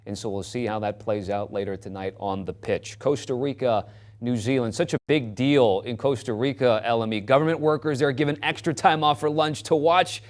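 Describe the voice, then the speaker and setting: Brisk male sports news anchor. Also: mid-range voice. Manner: brisk, steers the talk to the guest.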